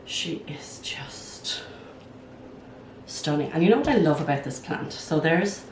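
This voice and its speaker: An older woman with a hoarse, croaky voice from a cold, chatting casually as a plant vlog host at home while she works, thinking aloud. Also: deep voice, expressive, measured pace, darkish tone, a little hiss or hum. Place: at home, indoors. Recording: roomy sound from a distance.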